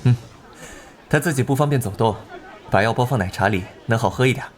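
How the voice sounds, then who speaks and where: A man playing an easygoing, considerate young male student in an animated drama, speaking in a casual, soothing way. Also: mid-range voice, brisk, a faint crowd, lightly acted.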